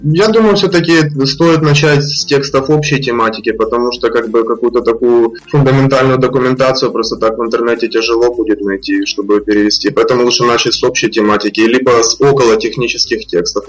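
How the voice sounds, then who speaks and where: Measured man explaining translation work. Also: mid-range voice, low-quality recording. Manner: explanatory, measured, with fillers and restarts. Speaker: freelance translator guest. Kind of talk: podcast interview answers.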